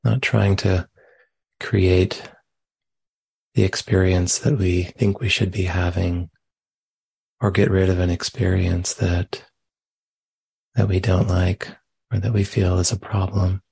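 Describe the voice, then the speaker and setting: A man leading a guided meditation as a teacher, speaking gently in short phrases with long, unhurried pauses. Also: low-pitched, flat delivery, vocal fry, slightly rough, no room sound. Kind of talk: guided meditation, spoken live.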